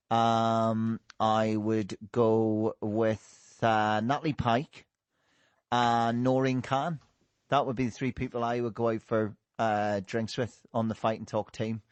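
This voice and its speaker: A man hosts a loose remote podcast chat, quick to joke and laugh, often tripping into restarts. His voice sits at an ordinary middle pitch. The sound is dry and close. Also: dry recording. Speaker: podcast host. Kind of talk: casual panel chat.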